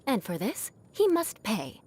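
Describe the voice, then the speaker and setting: A woman voicing a proud young noblewoman knight in a video game, composed and haughty, with formal diction and a grudge-holding edge over a softer heart. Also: a little high, huge pitch swings, a little slow, slightly rough, full character voice. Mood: indignant. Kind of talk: scripted video game character voice lines.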